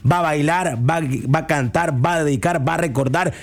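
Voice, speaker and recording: A man with a Nicaraguan accent hosting a radio show, upbeat and chatty, stretching out his words. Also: on the high side. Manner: upbeat announcer, drawn-out words. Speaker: radio host. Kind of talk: radio promo chat.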